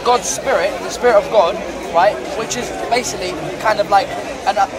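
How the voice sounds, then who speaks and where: A man's voice: sits high, brisk. A young man explaining his beliefs at length in a street debate, rambling and often asking "right?". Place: crowded city park outdoors. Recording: loud crowd noise outdoors. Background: crowd chatter.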